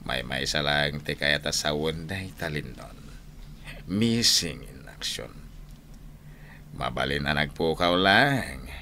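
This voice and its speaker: An actor playing an authoritative older general and mentor in a radio drama, speaking slowly and commandingly with an Ilocano accent. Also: male voice, a bass voice, bright, forceful tone, slight background noise, exaggerated voice.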